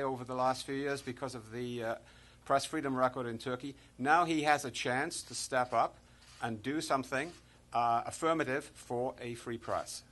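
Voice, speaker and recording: A man speaking for a press freedom group, measured and hesitant, answering questions at a press conference. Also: medium pitch, a bit bright. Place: press briefing room.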